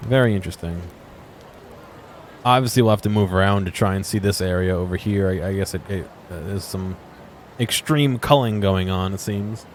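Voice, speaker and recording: A man's voice: deep voice, moderate pace, slight background noise. A man giving casual, unscripted video game exploration commentary, musing aloud and asking himself questions.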